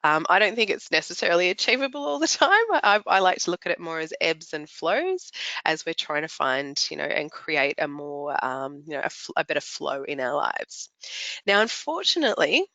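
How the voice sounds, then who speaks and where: Woman presenter, middle-aged, chatty with ums, slightly raspy voice, dull lo-fi online audio. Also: deep voice, sing-song, moderate pace, bright, forceful tone, no room sound. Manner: chatty, upbeat, frequent ums. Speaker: webinar presenter, naturopath trainer. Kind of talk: product launch webinar presentation.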